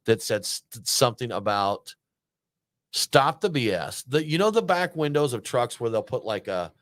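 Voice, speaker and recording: A man's voice: medium pitch, very animated, moderate pace, a bit bright, no room sound. A man, co-hosting a beer show and bantering casually in a studio. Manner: joking, laid-back banter. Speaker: podcast co-host. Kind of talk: casual chat.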